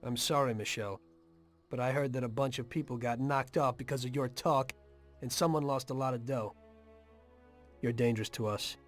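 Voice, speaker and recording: An actor as a brooding, conflicted mobster. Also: male voice, medium pitch, fairly even intonation, normal speed, long pauses, faint music behind, lightly acted. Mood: stern, warning. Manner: brooding, blunt. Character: weary, conflicted male mobster with a conscience. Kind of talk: dramatic video game voice acting.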